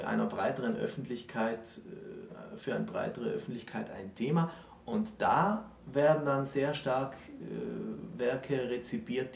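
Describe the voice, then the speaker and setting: A man's voice: medium pitch, creaky, a little mellow. A man in his thirties explaining history as a co-host in a discussion. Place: room with bookshelves, indoors. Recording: roomy echo, distant microphone.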